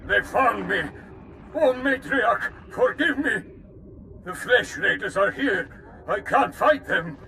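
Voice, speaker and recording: An actor playing a terrified older pilgrim in a video game, pleading in a strained, gravelly voice. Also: male voice, sits high, expressive, slow pace, bright, forceful tone, soft background music, exaggerated voice. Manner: panicked, pleading.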